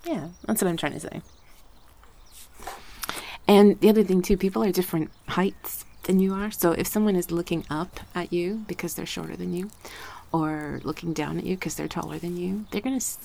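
A woman voice performer chatting gently in an ASMR roleplay, slipping between soft speech and whispers, a little congested from a cold. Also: on the low side, fairly even intonation, brisk, vocal fry, slight background noise.

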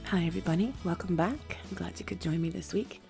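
Hoarse low-voiced woman, gentle advice host. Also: fast, soft background music. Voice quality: rough, slightly congested voice. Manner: gentle, reassuring, restarts phrases. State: hoarse from a flu. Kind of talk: solo advice talk to listeners.

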